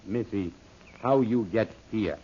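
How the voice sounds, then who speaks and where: A loyal native servant from an old-time jungle radio serial. His voice is husky and he speaks in broken pidgin, naming himself in the third person. The recording is dull and lo-fi. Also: fairly low pitch, slow, mellow. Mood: stubborn.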